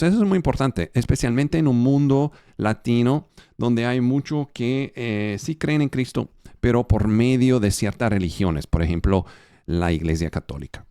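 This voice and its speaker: A man preaching a sermon in a language that is not his first, halting, with fillers and restarts. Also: mid-range voice, mellow, clean tone, no room sound, clean studio sound. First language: not Spanish. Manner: halting, with fillers and restarts as a non-native speaker.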